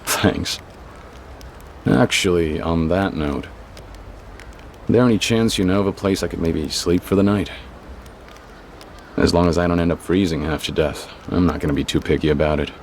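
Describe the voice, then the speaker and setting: Deep-voiced stoic tough guy. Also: normal speed, long pauses, a little hiss or hum. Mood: calm, weary. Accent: American. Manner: terse, restrained. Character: stoic, plain-spoken tough man in hiding. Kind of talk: video game English dub acting.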